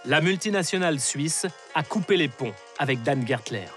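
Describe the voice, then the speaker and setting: A man's voice: a little high, expressive, normal speed, a bit bright, soft background music. A man narrating an investigative documentary in a scripted, wry voice-over. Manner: wry, ironic.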